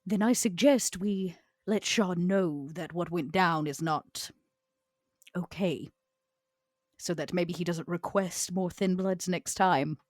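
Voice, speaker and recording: A woman's voice: on the low side, takes it slowly, a little mellow, no echo. Woman playing haughty elegant vampire, Vera, dry close sound. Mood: cool, firm. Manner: haughty, theatrical, formal phrasing. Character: proud, scornful old female vampire named Vera, elegant and ambitious.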